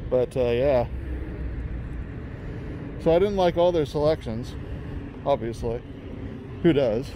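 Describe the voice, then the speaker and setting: A man's voice: mid-range voice, slow pace. Rambling man vlogging outdoors. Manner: rambling, musing aloud with fillers. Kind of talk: casual walking vlog narration. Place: outdoors in a parking lot by a closed restaurant. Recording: outdoor traffic noise.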